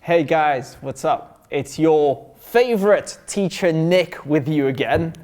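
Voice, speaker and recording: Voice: mid-range voice, lively intonation, slow pace, darkish tone. A teacher who opens a lesson casually, greeting his students in a breezy, chummy way. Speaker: English teacher. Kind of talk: casual vlog-style lesson intro.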